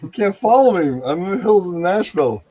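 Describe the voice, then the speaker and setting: A man's voice: sits high, very animated, a little fast, dark tone, breathy edge, dry recording. A middle-aged man, a guest who acts and writes plays, chatting and rambling with many restarts. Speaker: guest, voice actor and playwright. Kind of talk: casual chat. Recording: dull, dry close mic.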